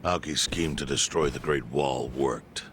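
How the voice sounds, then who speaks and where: An actor playing a calm, knowing male informant who explains things in a measured way. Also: extremely low pitch, background noise. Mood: grave.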